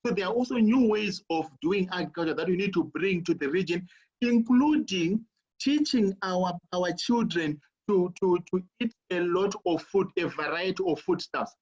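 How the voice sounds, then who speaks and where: Professor on a panel, from Zimbabwe: high, breathy voice, halting delivery, dull lo-fi sound. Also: male voice, darkish tone. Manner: halting, repeats words as he searches for them. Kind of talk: panel discussion talk on video call.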